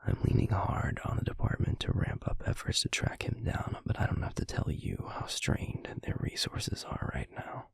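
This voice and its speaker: A man playing a grave, protective werewolf pack alpha in an audio drama, with a husky, gravelly voice and measured, formal speech. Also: no room sound, in a whisper, close to an everyday voice. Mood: grim, formal. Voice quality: gravelly, husky, breathy low voice. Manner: measured, deliberate, formal, hushed. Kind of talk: audio roleplay drama acting.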